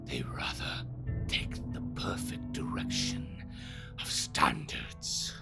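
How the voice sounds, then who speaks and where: An actor playing a bitter, courtly schemer in an audio drama, speaking theatrically in a gravelly half-whisper with formal, archaic wording. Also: takes it slowly, loud music behind the voice, lightly acted. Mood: brooding, bitter. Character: bitter, lamenting, courtly schemer bound by a bargain. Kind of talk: dramatic scripted monologue.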